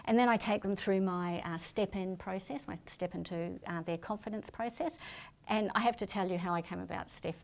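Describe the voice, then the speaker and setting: A middle-aged woman, a speaker and trainer, answering interview questions in a calm, explanatory way. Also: medium pitch, moderate pace, vocal fry. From New Zealand family, born in Singapore. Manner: calm, explanatory, self-promoting.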